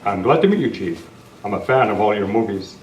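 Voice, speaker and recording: A man's voice: fairly low pitch, animated, brisk, lightly acted. Guy doing upright masked cowboy hero, earnest n formal, roomy far-off mic, radio play reading. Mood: courteous, assured. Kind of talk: amateur old-time radio script reading. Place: club meeting room. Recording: roomy, distant camera mic, faint noise.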